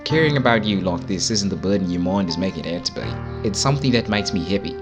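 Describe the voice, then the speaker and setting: A man's voice: deep voice, animated, fast, music in the background. A man voicing an earnest, protective teenage boy from a visual novel, speaking with dramatic devotion. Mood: earnest, devoted.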